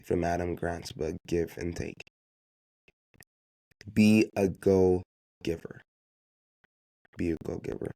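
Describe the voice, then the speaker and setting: A man's voice: sits low, steady tone, slow pace, long pauses, warm tone. A man who grew up speaking Haitian Creole gives unscripted motivational business advice as a podcast host, emphatically repeating key phrases and posing rhetorical questions.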